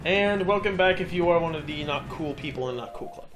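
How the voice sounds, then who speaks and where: A man's voice: on the high side, brisk, a bit bright, low-quality recording. A man doing casual, joking game commentary as the host of a let's play. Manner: joking, bantering. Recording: game music behind the voice. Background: video game music.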